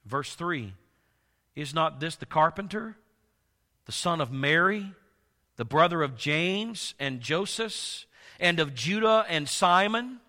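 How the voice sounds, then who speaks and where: A male pastor preaching a sermon while congested, his voice nearly gone, swinging from soft to loud with slow, emphatic delivery. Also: fairly high pitch, lively intonation, long reverb tail.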